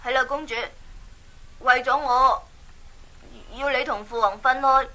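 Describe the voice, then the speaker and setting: High-voiced earnest young hero. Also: female voice, steady tone, unhurried, bright, forceful tone, poor audio. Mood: grateful, earnest. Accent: Hong Kong Cantonese. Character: brave, humble young male adventurer hero. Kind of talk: 1980s children's radio drama acting.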